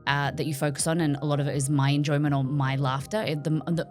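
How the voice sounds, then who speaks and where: Low-voiced Australian woman, chatty. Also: level tone, quick pace, darkish tone, soft background music. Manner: chatty, articulates words carefully. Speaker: cooking streamer guest. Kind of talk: casual interview chat.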